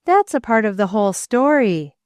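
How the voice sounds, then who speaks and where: A woman voicing a graying, plain-spoken aunt in a storybook, speaking in a measured and reluctant way. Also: a little high, big pitch swings, dry recording, clean studio sound, pitched above the performer's own voice. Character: graying aunt, tactful but grim.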